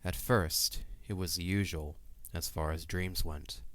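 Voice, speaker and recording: A man's voice: sits low, fairly even intonation, unhurried, a bit bright, no room sound. A man reading scripted narration for an audio drama as its narrator.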